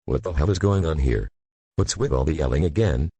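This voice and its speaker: A man's voice: very deep voice, animated, brisk, warm tone. A man playing a stern, weary older father who scolds his son. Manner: scolding and impatient.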